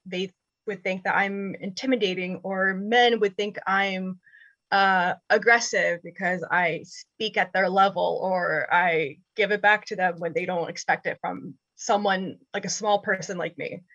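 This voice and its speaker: A young woman whose first language is Spanish, reflecting candidly and casually in a group discussion, thoughtful and halting, with easy laughter. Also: medium pitch, fairly even intonation, fairly bright tone, dry recording, highs cut off. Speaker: panel guest.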